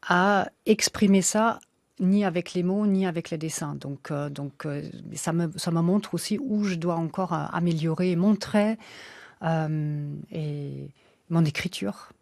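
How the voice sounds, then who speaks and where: Woman guest, non-native speaker, low voice, halting and thoughtful, dry studio sound. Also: creaky, a little mellow, no echo. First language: not French. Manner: hesitant, many fillers and restarts. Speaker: author and illustrator guest. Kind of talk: radio interview, reflective.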